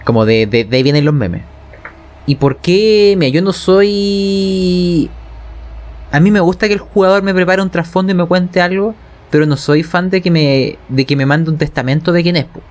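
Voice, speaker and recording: A man's voice: high voice, normal speed. A man with a Chilean accent in a casual, opinionated chat, speaking informally with swearing and frequent check-in tags. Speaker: podcast speaker, game narrator. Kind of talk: casual opinionated chat about role-playing games.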